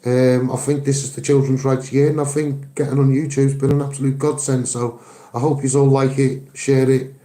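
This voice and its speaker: A man's voice: medium pitch, level tone, moderate pace, dark tone, reverberant. A man with a Liverpool Scouse accent giving an impassioned campaigning monologue as an activist, earnest and emphatic, repeating phrases for stress. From Liverpool, England. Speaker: activist and online video maker.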